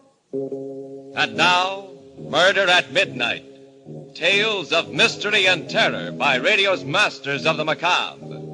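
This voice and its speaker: A man narrating and announcing a 1940s radio mystery show in a theatrical, ominous, deliberate manner. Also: on the high side, big pitch swings. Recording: vintage radio with music behind.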